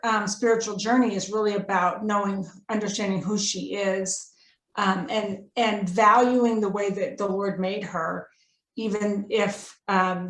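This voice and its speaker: A woman, an author guesting on a podcast, giving conversational interview answers. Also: mid-range voice. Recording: roomy, echoing sound.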